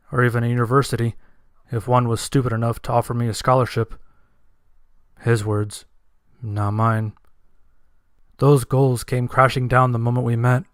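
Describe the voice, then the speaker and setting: Somber man narrating, even-toned. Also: medium pitch, clear, resonant tone, no echo, clean studio sound. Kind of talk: first-person dramatic story narration.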